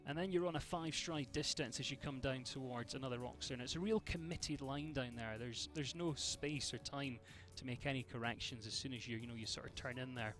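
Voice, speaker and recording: A man's voice: medium pitch, fairly quick, few pauses, fairly bright tone, faint music behind. Chatty Scottish man commentating show jumping. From Scotland. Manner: chatty, filler-heavy, greets viewers by name.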